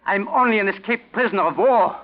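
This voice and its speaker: An actor playing a desperate escaped German prisoner of war, pleading insistently in 1940s radio drama style. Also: male voice, sits high, brisk, natural voice. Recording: tinny 1940s radio broadcast.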